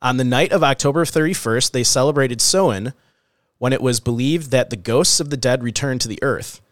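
Man, podcast co-host, a bit stuffy-nosed, smooth clear voice, dry close sound, loose and chatty. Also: mid-range voice, a little fast, dry recording. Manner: relaxed, chatty, frequent "uh" and "um". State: slightly nasal from allergies. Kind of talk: casual podcast chat.

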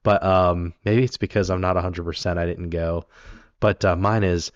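A man co-hosting a casual film talk, his voice raspy from being sick, rambling with many ums and restarts. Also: low-pitched, fairly even intonation, normal speed, no echo, muffled recording. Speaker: podcast co-host.

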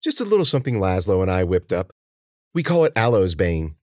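A man's voice: sits low, very animated, fairly quick, darkish tone, no echo, clean studio sound. A man voicing a sly elderly woman herbalist in a storybook, coaxing people with patronizing endearments. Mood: sly, pleased.

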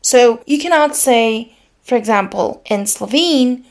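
A woman's voice: on the high side, expressive. Woman teaching grammar. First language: Serbian. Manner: measured, explanatory, switches into Slavic example phrases. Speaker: podcast host teaching linguistics. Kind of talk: scripted explanatory lesson.

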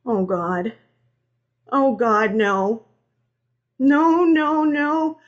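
A woman's voice: fairly low pitch, fairly even intonation, takes it slowly, lo-fi sound. A woman voicing a distraught, desperate young man in an audio story, emotionally raw and pleading. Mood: dread, disbelief.

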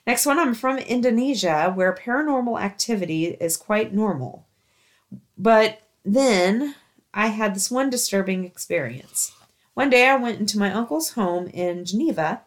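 A woman reads stories aloud as the host of a show. She has a middle-pitched voice and keeps the delivery casual and chatty, like someone sharing submissions with a friend. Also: animated, normal speed.

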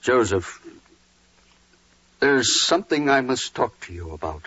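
A man's voice: mid-range voice, very animated, unhurried, sharp tone, husky, no echo, full character voice. An actor playing a troubled, dutiful older father in a biblical 1940s radio drama, grave and weighty. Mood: troubled, halting. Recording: muffled 1940s radio recording.

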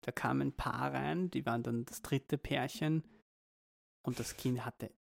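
Male co-host, mid pitch, gravelly, reflective and rambling. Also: steady tone, measured pace. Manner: reflective, rambling, many restarts. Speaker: podcast co-host. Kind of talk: casual parenting chat.

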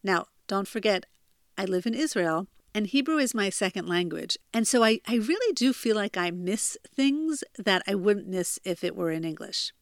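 A woman's voice: mid-range voice, lively intonation, moderate pace, no room sound, clean studio sound. An American woman in her forties from New Jersey, hosting a self-help podcast in an earnest, confiding, chatty way from a bedroom. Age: 40s. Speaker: podcast host. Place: childhood bedroom.

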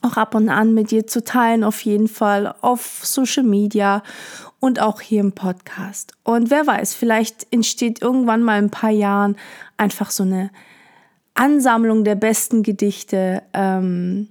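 A young woman from Portugal hosting a podcast, talking in a reflective, gentle way with many fillers. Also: mid-range voice, steady tone, unhurried. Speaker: podcast host. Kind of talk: casual personal monologue.

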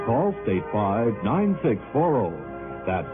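A man's voice: medium pitch, very animated, slow. A man reading a scripted vintage radio advertisement as its announcer. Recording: tinny old radio with music.

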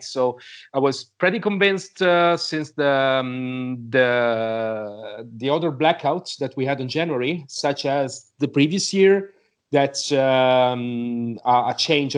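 A man giving his opinion on a podcast, speaking hesitantly in non-native English and searching for words. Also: medium pitch. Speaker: podcast panelist.

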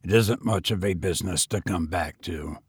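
A man voicing a weary, bitter gentleman tramp who speaks grimly and quietly. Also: sits low, moderate pace, slightly rough, no room sound, clean studio sound, voice pushed down. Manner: grim, subdued, clipped.

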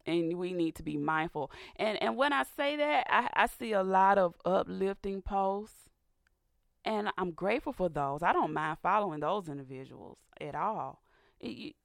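Raspy woman on a faith radio show, kinda hoarse, dry close sound, gets preachy and fired up. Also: medium pitch, lively intonation, moderate pace, no echo. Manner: earnest, rising to a preacher's fervor. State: sinus trouble, slightly hoarse. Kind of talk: candid faith-based radio talk. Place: radio studio.

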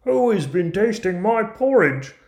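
A man's voice: high voice, big pitch swings, measured pace, darkish tone, pitched above the performer's own voice, heavily acted. A man voicing small, fussy, kindly dwarves in a fairy tale, with an exclamatory, emphatic delivery. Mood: indignant, puzzled. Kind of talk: fairy tale character voices. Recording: roomy echoing recording.

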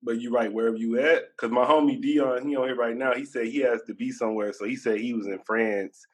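A man asks questions in an easygoing, slangy manner, casually interviewing a guest. His voice is at a middling pitch for a man. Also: fairly even intonation, fairly quick, poor audio. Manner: laid-back, slangy, conversational.

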